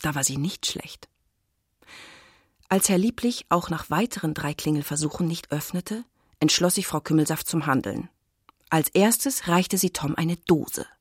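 A woman with a husky voice narrating a scripted children's radio play as storyteller. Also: sits low, unhurried, dry recording, studio quality.

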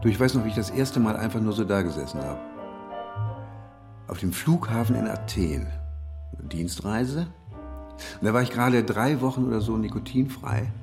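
A man's voice: fairly low pitch, expressive, normal speed, long pauses. Wistful, grumbling middle-aged man, music behind. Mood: musing. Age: 50s. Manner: hesitant, thinking aloud with repeated words. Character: ageing male editor, wistful, grumbling, fussily articulate. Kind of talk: naturalistic German radio drama dialogue.

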